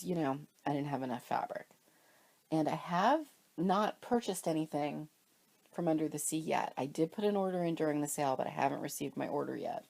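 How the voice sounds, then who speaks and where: A woman's voice: sits low, creaky, mellow. A woman with a cold, congested and sniffly, chatting casually and rambling about her stitching purchases while filming at home. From Pennsylvania, US. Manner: chatty, rambling, self-deprecating. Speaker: cross stitch vlogger. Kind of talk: casual show-and-tell chat. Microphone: camera or phone filming fabrics, speaker off screen.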